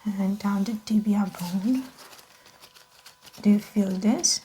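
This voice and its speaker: A woman speaking softly and breathily as a doctor in an ASMR medical roleplay, gently explaining each step without hurry. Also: mid-range voice, slow pace, poor audio. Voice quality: soft, whispery, breathy. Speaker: ASMR performer playing a doctor.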